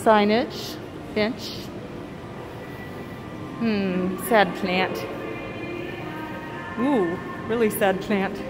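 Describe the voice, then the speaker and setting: Slow, wistful woman musing on a walk. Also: medium pitch, steady tone, music in the background, low-quality recording. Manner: wistful, musing aloud with soft hums. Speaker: vlogger. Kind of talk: walking tour vlog. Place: inside an empty shopping mall. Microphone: phone camera held while walking.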